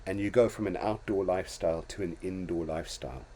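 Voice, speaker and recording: A man's voice: sits low, fast talker, dark tone, slight background noise. An older man from Zimbabwe hosting a casual talk show, reflecting on his own life in a storytelling way with many restarts and small chuckles. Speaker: host.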